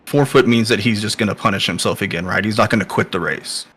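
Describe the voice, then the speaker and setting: Lowish-voiced Midwestern American man, joking casually. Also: fast talker, fairly bright tone, breathy edge. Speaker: sim racing driver guest.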